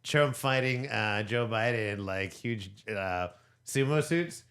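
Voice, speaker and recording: A man from Peru co-hosting a relaxed podcast, his voice smooth and clear. He rambles along with fillers and restarts, pitched in an ordinary middle range. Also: slow. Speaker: podcast co-host. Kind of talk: casual chat.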